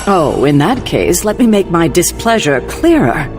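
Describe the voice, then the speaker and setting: Stern, protective mentor. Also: male voice, on the high side, big pitch swings, moderate pace, soft background music. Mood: stern displeasure. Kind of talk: video game cutscene acting.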